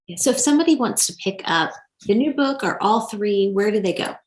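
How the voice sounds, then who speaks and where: A woman's voice: mid-range voice, expressive, brisk. A woman hosting a podcast interview in a conversational style. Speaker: podcast host. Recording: echoey room sound.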